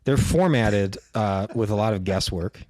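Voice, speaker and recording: A comedian guest on a podcast, a man speaking in a low-energy, deadpan, teasing way. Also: unhurried, dry recording. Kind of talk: casual podcast chat.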